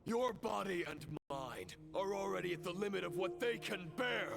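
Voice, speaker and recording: An actor playing a grave, coldly convinced fallen knight phantom in a video game, speaking in measured, formal phrases. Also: male voice, high-pitched, expressive, gravelly, over music, exaggerated voice. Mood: cold, menacing. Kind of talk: dramatic video game acting.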